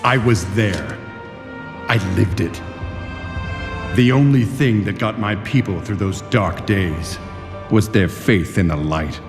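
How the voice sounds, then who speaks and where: Long reverb surrounds a proud alien leader in a fantasy game cinematic. He is stern and embittered, fairly low in pitch, and weighs out his words slowly over music. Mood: indignant, bitter. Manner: stern, deliberate, declamatory.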